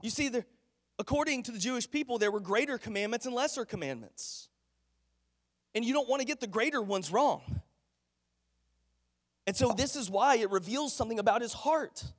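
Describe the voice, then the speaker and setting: Stuffy-voiced pastor, high voice. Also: male voice, expressive, normal speed, long pauses. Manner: folksy, earnest preaching. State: congested head cold. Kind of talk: sermon. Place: church sanctuary. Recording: echoey church hall.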